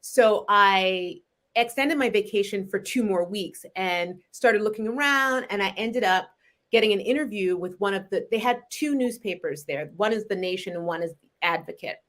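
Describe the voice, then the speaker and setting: Chatty, animated woman novelist. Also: mid-range voice, normal speed. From Montreal, Canada. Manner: chatty and animated, laughs often, frequent restarts. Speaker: novelist guest. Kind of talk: relaxed conversational interview.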